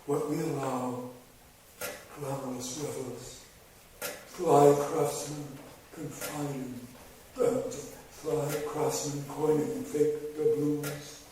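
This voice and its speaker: A man reading his own poems to an audience, with slow, measured delivery and wry asides between poems. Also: a little high, little pitch movement. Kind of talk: poetry reading with spoken asides. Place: large hall before a live audience. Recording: very echoey hall, voice far from mic, background noise.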